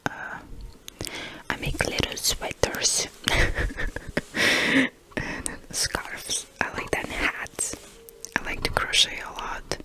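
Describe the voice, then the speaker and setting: A woman with a Croatian accent whispering ASMR, chatty and a bit hesitant, with light giggles. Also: poor audio. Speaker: ASMR collab guest.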